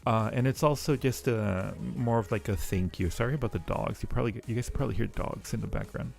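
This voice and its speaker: A man chatting casually with viewers as a livestream host, sometimes halting as he explains things. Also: lowish voice, gravelly, darkish tone. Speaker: streamer and audio roleplay creator. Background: faint music.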